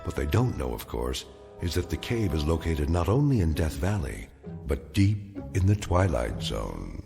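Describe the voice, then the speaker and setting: An actor playing a grave, measured narrator of an eerie radio drama, delivering ominous scripted narration. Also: male voice, very deep voice, sing-song, gravelly, full character voice. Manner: measured, portentous. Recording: long reverb, music underneath.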